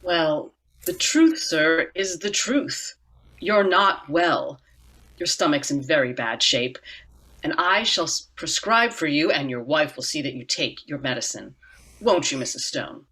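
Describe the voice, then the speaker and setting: A youngish doctor in a radio mystery, played by a woman. Her voice is forceful and bright. She speaks bluntly and deliberately, with stern professional gravity. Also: low voice, lively intonation, slightly rough, full character voice. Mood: grave, stern. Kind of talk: amateur radio drama acting.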